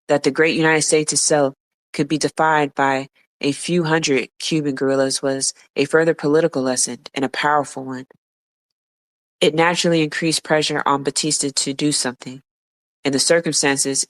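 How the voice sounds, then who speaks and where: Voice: mid-range voice, no echo. A podcast co-host reading nonfiction aloud, stumbling and restarting words now and then and dropping blunt offhand remarks.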